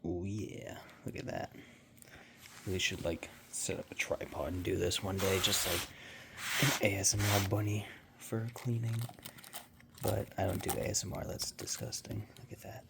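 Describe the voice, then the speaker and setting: A man chatting casually while cleaning his living room, meandering and offhand, with quiet mumbled asides, into a handheld phone. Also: deep voice, creaky. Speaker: vlogger and pet owner. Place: home living room, indoors. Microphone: handheld phone camera. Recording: loud background noise.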